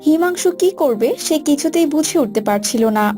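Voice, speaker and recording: A woman's voice: sits high, lively intonation, moderate pace, dark tone. A woman reading scripted narration for a cartoon story. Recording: music bed behind voice.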